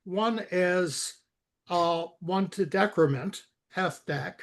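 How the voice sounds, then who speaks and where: A man giving informal step-by-step coding instruction, halting and repeating words as he guides someone through it. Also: high voice. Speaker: software expert guiding a coding session.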